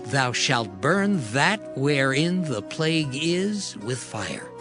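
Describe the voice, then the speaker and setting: Male scripture reader. Slow, solemn, deliberate delivery with music clearly underneath. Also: mid-range voice, sing-song. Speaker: audio Bible reader. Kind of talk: dramatized scripture reading. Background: background music.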